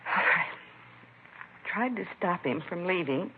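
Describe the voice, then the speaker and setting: A woman's voice: lowish voice, expressive, slow. An actress playing a distraught, protective American mother in her early forties, in old-time radio crime drama style. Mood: upset, subdued. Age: middle-aged. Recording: tinny, hissy vintage radio broadcast.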